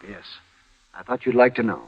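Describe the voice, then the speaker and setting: An American actor playing a fussy, weary older town doctor in a 1950s radio western, excitable and prone to repeating himself. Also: male voice, medium pitch, moderate pace, slightly rough, close to an everyday voice. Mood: grave, urgent. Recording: dull vintage radio recording.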